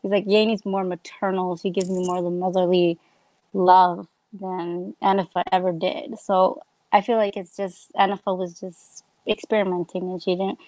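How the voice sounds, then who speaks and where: A woman's voice: on the low side, level tone, moderate pace, warm tone, dry recording, rough recording. A woman chatting casually in a book discussion on a video call, musing and tentative. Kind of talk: casual group book chat. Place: indoor room, video call.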